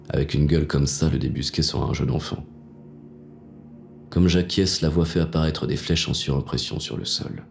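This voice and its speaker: A man acting as a hard-boiled male inspector with an extremely low, gravelly voice. He tells his noir story in gruff, slangy, world-weary words, bitter and shaken, with faint music underneath. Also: darkish tone. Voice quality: very deep, gravelly. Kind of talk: first-person noir audio drama narration.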